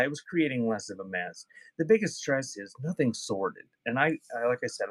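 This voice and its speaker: A man's voice: mid-range voice, no room sound. A man hosting a casual live sale, chatting off the cuff with viewers and reading out items. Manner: off-the-cuff, addresses chat viewers by name. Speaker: live-stream auction seller of vintage music.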